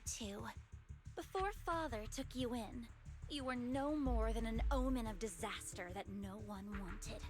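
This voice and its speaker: An actress plays a young female video game villain with a high, theatrical voice. She is haughty and fanatical, taunting with cruel conviction. Prominent game music runs underneath. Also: lightly acted. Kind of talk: dramatic video game voice acting.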